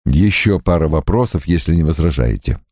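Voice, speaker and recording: A man voicing a composed, polite male private detective in an audiobook, measured and courteous as he questions. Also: a bass voice, animated, darkish tone, no echo, studio quality, pitched below the performer's own voice, heavily acted. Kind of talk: audiobook character acting.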